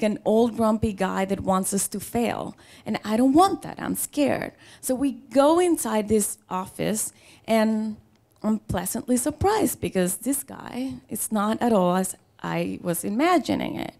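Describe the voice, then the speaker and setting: A middle-aged woman from the Dominican Republic with a Dominican Spanish accent, a native Spanish speaker, telling a personal story live in a conversational, playful way with dramatic pauses. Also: medium pitch, creaky, mellow. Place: live storytelling show before an audience.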